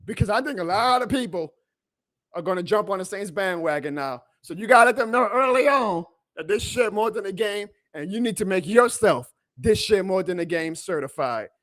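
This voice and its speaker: A man hosting a live sports reaction stream, excitable and emphatic, his voice going hoarse. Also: high-pitched, normal speed, dry recording, studio quality. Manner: excitable, emphatic, plugs merch, reads chat aloud. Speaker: sports fan livestream host.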